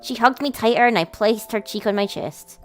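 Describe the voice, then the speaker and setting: Female let's-play host, high voice, expressive story narration over game music. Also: normal speed. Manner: dramatic storytelling with casual asides. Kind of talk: expressive narration of a visual novel.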